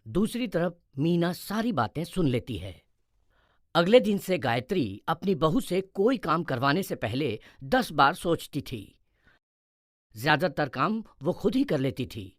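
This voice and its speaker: Lively male story narrator, slightly high-pitched. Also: unhurried, dry recording, studio quality. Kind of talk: scripted storytelling narration for animated moral story.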